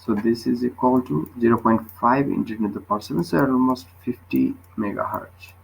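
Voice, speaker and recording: A man's voice: mid-range voice, brisk, vocal fry, a little hiss or hum, rough recording. A man tutoring physics, explaining a worked problem step by step and often checking with short questions.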